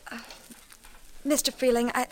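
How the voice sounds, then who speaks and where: A woman's voice: a little high, little pitch movement, normal speed, lightly acted. An actress playing a wistful young woman in a radio drama, polite, hesitant and stammering. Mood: shy, pleading. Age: young adult. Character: wistful young woman longing for her father. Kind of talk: 1950s radio drama acting.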